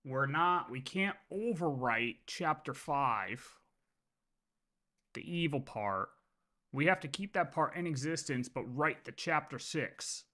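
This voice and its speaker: A man's voice: a little high, sharp tone. A man giving casual live commentary as a game streamer, thinking aloud with emphatic points. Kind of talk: casual live playthrough commentary with lore theorizing.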